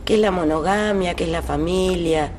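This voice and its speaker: A man with a creaky voice in casual conversation, recorded with a noisy background. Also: high-pitched, normal speed.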